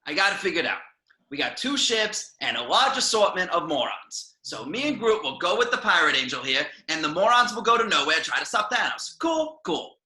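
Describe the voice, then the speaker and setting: A man playing a cocky, sarcastic talking raccoon mercenary with bossy, excitable delivery in an amateur film table read. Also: high voice, brisk, echoey room, full character voice.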